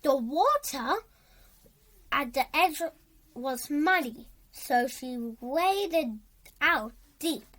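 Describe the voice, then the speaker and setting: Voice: sits high, lively intonation, pushed and bright. A young child reading a storybook aloud, stumbling now and then and going back over words. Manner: halting, stumbles and rereads words. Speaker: storybook reader.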